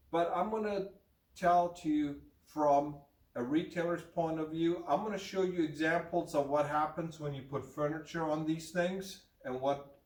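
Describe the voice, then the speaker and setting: A middle-aged rug dealer from Germany with a slight German accent, talking frankly to camera with fillers, recorded by a far camera in an indoor room. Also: male voice, on the high side. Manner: frank, plain-spoken, with fillers. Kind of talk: casual talk to camera. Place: room with hanging curtain, indoors. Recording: roomy, distant camera sound.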